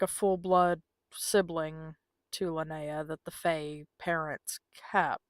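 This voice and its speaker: A woman's voice: lowish voice, no echo. A woman giving casual, musing live commentary as she streams a video game, with exasperated asides.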